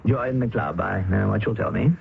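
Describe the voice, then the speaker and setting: A man's voice: on the low side, moderate pace, creaky. An actor playing an idealistic young male doctor who speaks earnestly and with passion in a radio drama. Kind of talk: 1970s radio drama acting. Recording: tinny old radio broadcast, faint hiss.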